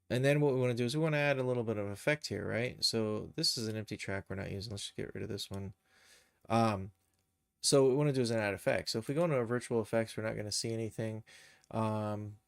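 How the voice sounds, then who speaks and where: A man hosting a casual, unscripted software tutorial, thinking aloud as he explains each step. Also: lowish voice, vocal fry, smooth, no room sound. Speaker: live stream tutorial host, game and cartoon developer.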